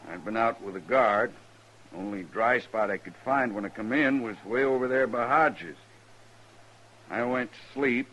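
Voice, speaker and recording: An actor playing a rough, stubborn trail boss in a 1950s radio western, terse and plain-spoken with a Western American accent. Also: male voice, medium pitch, fairly bright tone, natural voice. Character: rough, rock-headed, hard-driving cattle trail boss. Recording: noisy old radio drama recording.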